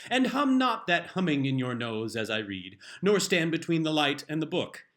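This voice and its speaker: A man acting stern exasperated father, theatrical scripture-like proclamations, highly animated pitch, bright forceful voice, comic dramatic reading. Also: normal speed. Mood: solemn. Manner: pompous, theatrical, solemn proclamation. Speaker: reader performing a humorous essay.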